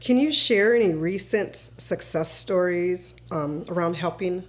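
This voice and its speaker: A woman hosts a casual interview, asking questions in an encouraging way. Her voice sits a little low. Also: unhurried. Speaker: podcast host, realtor.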